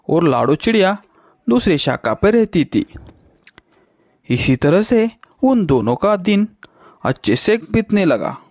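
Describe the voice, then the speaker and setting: A man's voice: high voice, no echo, studio quality. A man narrating a children's bird story in a scripted storytelling style.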